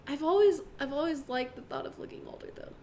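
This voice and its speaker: A woman's voice: high voice, quick pace, a little mellow, slight background noise. A nineteen-year-old woman chatting casually in a playful, giggly, teasing way, as co-host bantering with her husband. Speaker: co-host introducing herself.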